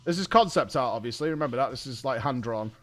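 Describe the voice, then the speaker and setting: A man's voice: medium pitch, lively intonation, quick pace, soft background music. A British man hosting a gaming show, giving casual, blunt live reactions and thinking aloud.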